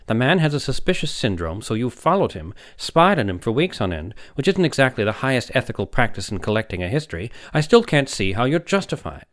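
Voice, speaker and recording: A man's voice: mid-range voice, sing-song, fairly quick, hardly any pauses, voice pushed up, natural voice. Audiobook role: male reader as doubtful young doctor, troubled conscience, hesitant, raising objections. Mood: annoyed. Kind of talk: audiobook character dialogue.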